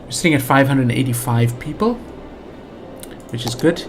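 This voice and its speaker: A man's voice: mid-range voice, moderate pace, noisy background. A man with a South African accent giving casual let's play commentary, thinking aloud with lots of fillers and restarts. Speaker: gaming channel host.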